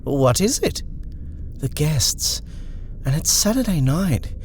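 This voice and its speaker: A man's voice: on the high side, big pitch swings, slow pace, a little mellow, a little hiss or hum, voice pushed up. A man voicing a polite, hesitant young woman who is nervous. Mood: anxious.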